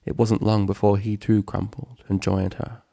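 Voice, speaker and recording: A male narrator reads a dramatic story. His voice is low and noticeably gravelly, with a solemn, measured delivery, recorded very dry and close. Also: little pitch movement, dark tone, no echo. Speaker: narrator, fan fiction reader.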